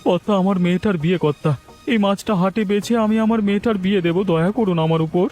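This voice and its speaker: A man voicing a poor, worried village father and fisherman, plaintive and humble, in an animated story. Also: high-pitched, quick pace, lightly acted. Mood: desperate, pleading. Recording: faint music behind the voice.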